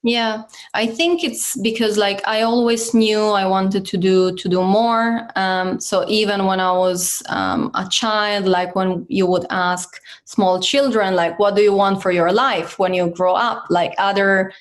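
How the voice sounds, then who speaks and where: A woman's voice: medium pitch, fairly even intonation, measured pace, few pauses. A woman of origin who grew up in Italy, speaking as a company head in a casual interview, with many fillers and restarts. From Croatian, grew up in Italy. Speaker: guest, company CEO.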